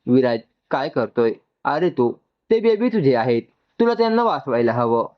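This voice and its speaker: A man's voice: mid-range voice, big pitch swings, normal speed, highs cut off, lightly acted. A man voicing a practical woman who talks to her friend in a matter-of-fact way in a dramatic story. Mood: panicked. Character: composed, practical woman reassuring an anxious friend.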